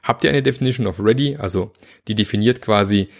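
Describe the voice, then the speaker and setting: A man's voice: on the low side, fast talker, gravelly. A man with a cold giving a casual, chatty lesson on team practices, full of fillers and asides. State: has a cold, sore throat. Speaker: agile coach teaching teams. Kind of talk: informal spoken lesson.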